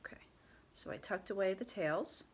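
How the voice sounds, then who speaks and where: A woman with a stuffy nose from a cold, calmly talking through a step-by-step tutorial and often dropping to a soft whisper. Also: mid-range voice, husky. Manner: calm, unhurried, often drops to a soft whisper. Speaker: head wrap tutorial host.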